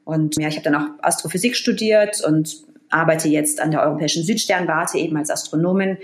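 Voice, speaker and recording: A woman in her thirties, raised bilingual in German and English, telling her story as a guest in a casual interview with lively, animated energy and many fillers. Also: low voice, fairly quick, echoey room. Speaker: guest, astrophysicist in astronaut training.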